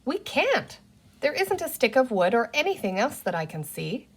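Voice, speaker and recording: A woman's voice: on the high side, big pitch swings, moderate pace. A woman voicing a bossy, sensible eldest girl in a children's storybook, firm and taking charge. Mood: puzzled.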